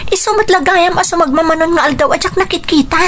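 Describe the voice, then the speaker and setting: A woman's voice: very high voice, fast talker, a bit bright, slight background noise. A woman playing an anxious, emotional young woman deceived in love, in a radio drama with an Ilocano accent. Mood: worried. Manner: emotional, pleading.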